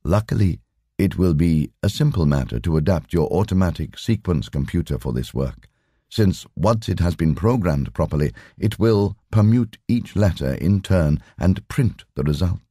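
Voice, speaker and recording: A man voicing a calm, formal lama in an audiobook story, speaking in a measured, unruffled way. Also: deep voice, no room sound, studio quality. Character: calm, imperturbable male Tibetan lama explaining patiently.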